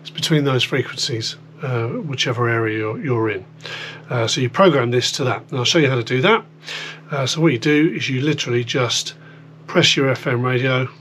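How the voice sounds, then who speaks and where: A man's voice: medium pitch, a little fast, a bit bright. A British man giving a casual hands-on product demonstration, rambling informally as he explains things step by step. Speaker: host of a radio gear demonstration video. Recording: clear background noise with electrical hum.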